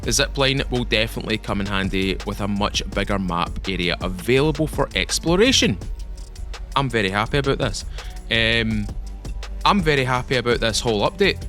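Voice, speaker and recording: A man's voice: fairly low pitch, animated, moderate pace, a bit bright, faint music behind. A young Scottish man giving a rambling, enthusiastic update on a game for his channel. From Scotland. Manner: rambling, enthusiastic, repeats himself. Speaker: gaming YouTuber. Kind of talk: casual game update commentary.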